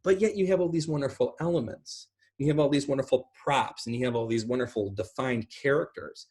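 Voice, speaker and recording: A middle-aged man with a slightly rough, husky voice answers questions on a video call from home. He explains things informally, rambling from one example to the next. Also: mid-range voice, fairly quick, darkish tone. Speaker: guest speaker. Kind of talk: casual explanatory Q&A talk. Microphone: video call microphone.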